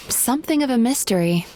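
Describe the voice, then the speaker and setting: A woman as a composed, gently wry game therapist. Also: a little high, lively intonation, soft background music, close to an everyday voice. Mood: resigned, thoughtful. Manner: measured, polite, understated. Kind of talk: video game character acting.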